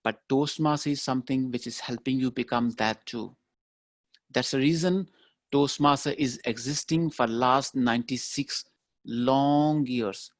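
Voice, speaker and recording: A man's voice: mid-range voice, unhurried, no room sound, studio quality. A man giving a motivational keynote speech, talking emphatically and repeating his key phrases. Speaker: keynote speaker.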